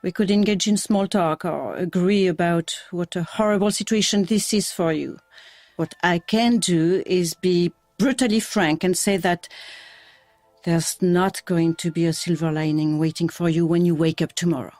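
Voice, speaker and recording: An actress playing a frank, matter-of-fact counselor. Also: female voice, fairly low pitch, fairly even intonation, moderate pace, darkish tone, no echo, natural voice. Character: blunt adult woman counselor, frank.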